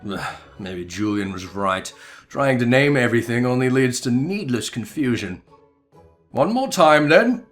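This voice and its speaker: Man playing a booming, swooping theatrical father. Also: big pitch swings, a little slow. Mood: musing, resolute. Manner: grandiose, declamatory. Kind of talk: melodramatic game dialogue reading. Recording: music behind the voice.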